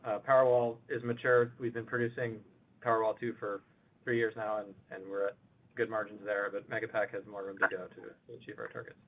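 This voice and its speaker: A man with a fairly low voice answers analysts as an executive on an earnings call. The audio is thin and phone-like. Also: flat delivery, brisk. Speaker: company executive. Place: conference call line.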